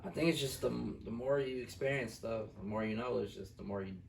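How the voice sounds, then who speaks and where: A man with a mid-pitched voice gives film opinions as a co-host in casual, slangy, blunt bursts. The sound is echoey and a bit far from the microphone. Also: fast, creaky, slight background noise. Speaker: co-host of a film review show. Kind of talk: casual chat, film review. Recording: roomy echo, distant mic.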